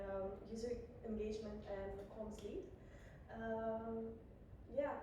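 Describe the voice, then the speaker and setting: Woman, from Russia, flat even tone, conversational, very echoey room, far mic, background noise. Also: fairly high pitch. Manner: conversational, slightly halting. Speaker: sustainability consultant, team lead. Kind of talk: short self-introduction at an event.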